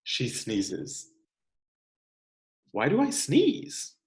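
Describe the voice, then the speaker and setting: An American man reads a children's picture book aloud with expressive, deliberate storyteller phrasing and the occasional casual aside. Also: on the high side. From California, United States. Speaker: read-aloud host, teacher reading to children. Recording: echoey room.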